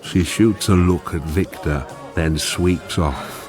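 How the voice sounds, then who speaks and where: Suspenseful storyteller for radio drama; deep register, unhurried scripted narration, music beneath. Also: male voice. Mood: measured.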